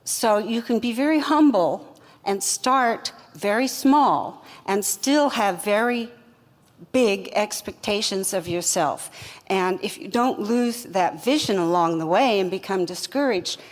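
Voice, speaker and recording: An older woman, a company co-founder, telling her story in an earnest, reflective and measured way. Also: medium pitch, lively intonation. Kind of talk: fireside chat interview answers. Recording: long hall reverb.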